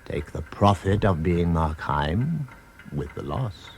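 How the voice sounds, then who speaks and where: An actor playing a sinister, persuasive tempter in a radio drama, taunting and relentless, with an insistent theatrical delivery full of probing questions. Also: male voice, sits low, sing-song, slow pace, a little mellow, slight background noise, exaggerated voice. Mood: probing, scornful. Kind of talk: dramatic British radio play acting.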